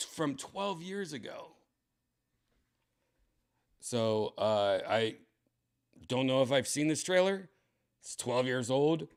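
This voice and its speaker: A tipsy man with a raspy, dry-throated voice, chatting casually as a comedian hosting his livestream, rambling and clearing his throat often. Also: mid-range voice, a bit bright. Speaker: comedian streaming host.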